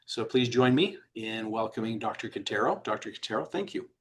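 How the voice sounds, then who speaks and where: A man's voice: on the low side, animated, a little fast, fairly bright tone, a little hiss or hum. A man hosts a webinar and introduces the speaker. He sounds upbeat and chatty, with a few fillers along the way. He talks through a computer microphone on a video call. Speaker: webinar host. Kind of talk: hosting a webinar.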